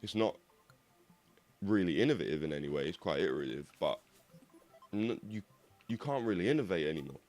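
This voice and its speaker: A young man with a slightly croaky voice, rambling casually and swearing as he hosts a gaming video. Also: sits low, animated, brisk, long pauses, darkish tone, soft background music. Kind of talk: rambling casual monologue over gameplay.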